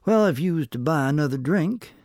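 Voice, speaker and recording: A man's voice: fairly high pitch, lively intonation, fairly quick, a little mellow, no echo, clean studio sound, pitched above the performer's own voice. Man doing a gossipy old-west barkeep. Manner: folksy, gossipy, drawling. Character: gossipy older male western barkeep. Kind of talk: storytelling.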